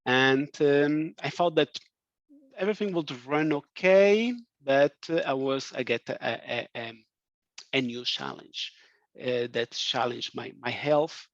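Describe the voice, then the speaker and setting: A man with a Portuguese accent, raised in France, giving a webinar talk, halting with many fillers and restarts. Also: mid-range voice, no room sound. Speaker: guest speaker. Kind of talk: webinar presentation. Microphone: video call. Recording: dry, dull video call audio.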